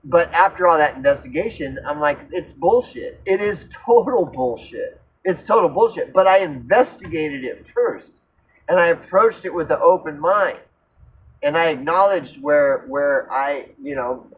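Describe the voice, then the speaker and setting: A man of fifty on an unscripted personal rant, earnest and insistent, halting and repeating himself as he circles back mid-sentence, casually profane. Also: fairly high pitch, expressive, slight background noise, rough recording. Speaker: recovery vlogger.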